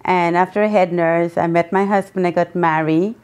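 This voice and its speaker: A woman in her sixties from Guyana, answering interview questions earnestly, pausing often with ums. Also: fairly low pitch, fairly even intonation, a little mellow, clean tone, faint music behind. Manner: earnest, with many pauses and ums. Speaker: guest, memoir author.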